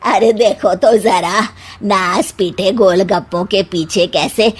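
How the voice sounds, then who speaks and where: A woman voicing a sharp-tongued, taunting middle-aged village mother-in-law in a family cartoon comedy. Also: mid-range voice, fairly even intonation, slow pace, husky. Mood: scolding, sarcastic. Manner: sarcastic, scolding.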